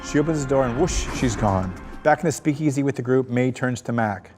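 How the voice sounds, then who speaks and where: A man's voice: mid-range voice, brisk. A man narrating script directions aloud at a table read. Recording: loud music under the voice. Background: music.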